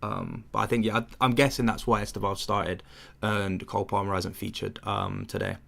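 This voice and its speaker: A man's voice: lowish voice, little pitch movement, normal speed, vocal fry, fairly bright tone. A young man hosting a football watchalong, commentating casually and chatting with viewers, rambling with fillers. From England. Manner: chatty, rambling with fillers, answers chat questions. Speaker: watchalong host.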